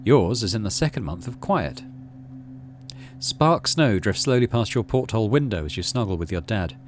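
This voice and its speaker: A man's voice: lowish voice, expressive, moderate pace, faint music behind. A man reading out a story game as narration and adding casual commentary as he plays. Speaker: video game streamer.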